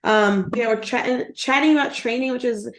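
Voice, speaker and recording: A hoarse woman co-hosting a podcast in casual chat over a video call, hesitant, with many ums and restarts. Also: on the high side, moderate pace, poor audio. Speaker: podcast co-host.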